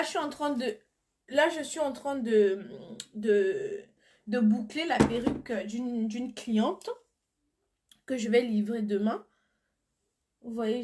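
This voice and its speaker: Woman, fairly high voice, has a cold, chatty live stream, blunt, reads comments aloud. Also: noisy background. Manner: chatty, answers viewer comments as she goes; firm and blunt when insisting. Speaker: beauty seller on a live stream. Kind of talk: casual live stream chat.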